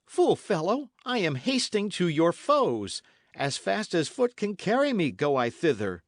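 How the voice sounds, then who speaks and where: A gruff young squire barking orders in archaic speech, as a male narrator puts him on, his voice pushed up high and lively from the reader's own. Heard in a dry, close audiobook recording. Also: unhurried, no room sound, exaggerated voice. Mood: rough, impatient. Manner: brusque, forceful old-fashioned speech.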